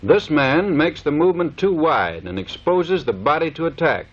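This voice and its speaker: A man's voice: on the high side, animated, normal speed, slightly rough. An American man giving formal, clipped, scripted instructional narration in the manner of a 1930s military training film. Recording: dull vintage 1930s film soundtrack.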